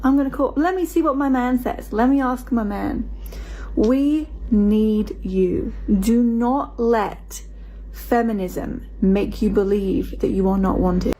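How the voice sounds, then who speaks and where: A woman's voice: fairly high pitch, takes it slowly, warm tone, poor audio. A woman making an emotional, pleading direct appeal in a repetitive, insistent way. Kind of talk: emotional direct-to-camera social media appeal.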